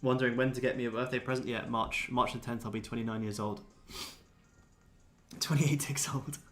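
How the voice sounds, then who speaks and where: A man's voice: mid-range voice, flat delivery, fast, fairly bright tone. Casual young man livestreaming over music. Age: 20s. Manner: laid-back, blokey, sweary. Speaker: livestream host, day trader. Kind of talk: casual livestream chat answering viewers.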